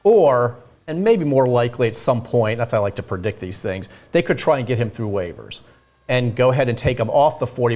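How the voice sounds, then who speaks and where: A man talks through roster moves in a relaxed, conversational way, circling back and qualifying his points as he goes. His pitch sits in an ordinary middle range. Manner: relaxed, thinks aloud with hedges. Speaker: team insider reporter. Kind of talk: casual analytical chat.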